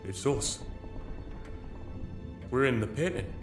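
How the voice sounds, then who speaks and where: A man's voice: medium pitch, expressive, slow pace. A man playing a down-to-earth, jittery and incredulous cameraman in an audio drama. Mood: amazed. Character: jittery, down-to-earth ghost-hunt cameraman, doubting and plain-spoken. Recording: roomy echo with music underneath.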